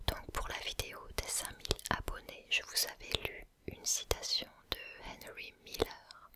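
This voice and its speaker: A man whispering softly in a slow, reflective ASMR video, pausing and restarting as he thinks aloud. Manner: musing, reflective.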